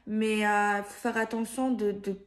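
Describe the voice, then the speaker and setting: A woman's voice: medium pitch, level tone, unhurried. A woman giving improvised spiritual guidance in a casual, hesitant way, often circling back and rephrasing herself. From France. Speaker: card reader giving guidance to viewers.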